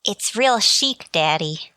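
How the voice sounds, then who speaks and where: A woman's voice: mid-range voice, expressive, slow, pushed and bright, smooth, dry recording. A woman playing an earnest, polite teenage girl, a principal's daughter, in a radio comedy. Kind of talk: old-time radio comedy acting.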